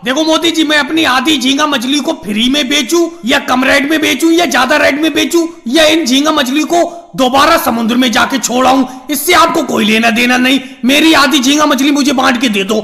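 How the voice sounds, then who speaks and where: A man puts on a very high, sharp, creaky cartoon voice as a stubborn male politician turned fishmonger. He argues his way through an animated comedy skit. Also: a little fast, few pauses, full character voice. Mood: defiant, stubborn.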